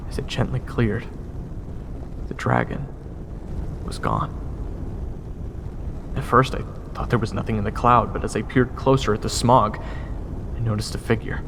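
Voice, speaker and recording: A man's voice: on the low side, steady tone, a little slow, creaky, pauses often, noisy background. A man playing a wry, sarcastic adventurer who narrates his own fantasy tale in a conversational way. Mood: tense, awed. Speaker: narrator and protagonist. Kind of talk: first-person dramatic narration.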